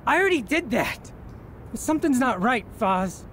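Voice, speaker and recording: A man's voice: very high voice, sing-song, moderate pace, fairly bright tone, slight background noise, full character voice. An actor playing an anguished, guilt-ridden man, pleading emotionally in a radio drama. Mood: remorseful, tearful.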